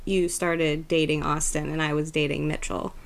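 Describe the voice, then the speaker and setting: A woman's voice: sits low, level tone, moderate pace, vocal fry. A woman in her twenties co-hosting a podcast, chatting casually and teasing with short, dry replies. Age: 20s. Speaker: podcast co-host. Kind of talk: casual chat.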